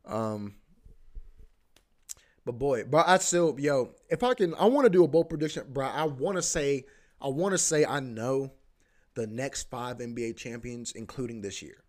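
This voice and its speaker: A man hosting a podcast, rambling casually about sports, repeating himself, swearing and saying "bro" a lot. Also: mid-range voice. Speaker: podcast host.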